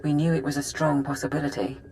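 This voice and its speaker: A woman's voice: deep voice, flat delivery, darkish tone, slight background noise, lightly acted, low-quality recording. Woman playing a clipped mission support operative. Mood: brisk. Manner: brisk, clipped. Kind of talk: video game cinematic acting.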